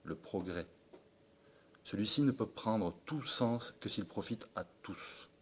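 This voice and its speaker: Deep-voiced Frenchman giving earnest address. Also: animated, takes it slowly, a little hiss or hum. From France (Perpignan). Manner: formal, earnest, declamatory with small slips. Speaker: political supporter. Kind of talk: read political address.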